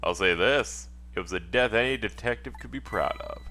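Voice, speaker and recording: Man acting male ghost, wry, cool-headed, low voice, game dialogue, music behind. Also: moderate pace, pushed and bright. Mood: teasing. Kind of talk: video game character dub in a let's play.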